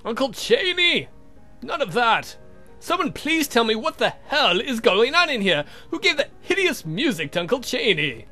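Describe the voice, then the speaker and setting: A man puts on an irritable vampire count for a visual novel game, ranting and weary. The voice sits high and swings widely in pitch, with a sharp, forceful edge. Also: very animated, moderate pace, soft background music, exaggerated voice. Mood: exasperated. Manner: exasperated, ranting. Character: grumpy foul-mouthed male vampire count, irritable and weary.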